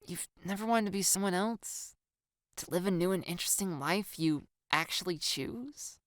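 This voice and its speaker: A woman's voice: on the low side, normal speed, dry recording, clean studio sound, natural voice. A woman voicing a playful, pleading girl in an audiobook, with a theatrical, teasing, slangy way of talking. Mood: wistful, pleading. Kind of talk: audiobook character dialogue.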